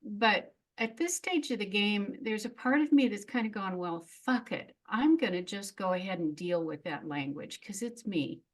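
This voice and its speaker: A woman's voice: medium pitch, expressive, moderate pace, hardly any pauses. A middle-aged woman speaking as a guest in a casual interview, frank and reflective, often laughing at herself. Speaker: memoirist guest.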